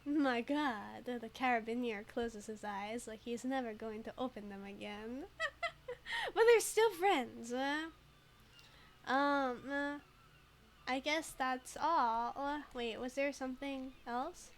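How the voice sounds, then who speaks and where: A woman's voice: on the high side, slow pace, a little hiss or hum. A giggly, rambling woman with a very slightly tinged American accent, a native Mandarin speaker, giving casual commentary as she streams a game. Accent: American with a very slight foreign tinge. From United States, moved there very young.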